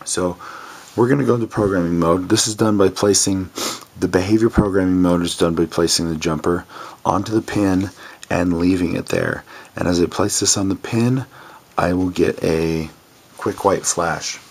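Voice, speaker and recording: Hoarse low-voiced man demoing gadgets. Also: moderate pace. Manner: rambling, many ums, enthusiastic. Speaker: product demonstrator. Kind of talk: casual product demo.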